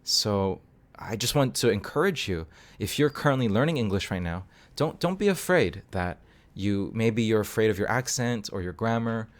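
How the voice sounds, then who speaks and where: A man's voice: on the low side, animated, normal speed. A young man from Hong Kong, an English teacher, talking unscripted to camera in a casual, encouraging way, often correcting himself.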